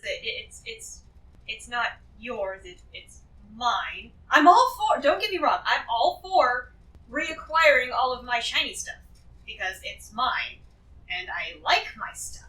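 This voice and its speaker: A woman's voice: on the high side, lively intonation, slow pace, pushed and bright, heavily acted. A woman playing a greedy, shrewd treasure-hoarder in a fantasy role-play, rambling and emphatic as she justifies herself. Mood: possessive. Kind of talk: improvised tabletop fantasy role-play acting. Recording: echoey room, distant from the mic.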